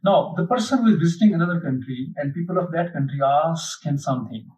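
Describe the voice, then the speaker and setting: A man giving an unhurried explanatory lecture, pausing often with fillers as he explains. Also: on the high side, expressive, darkish tone. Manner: unhurried, hesitant, many fillers. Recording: dull lo-fi, mic at a distance.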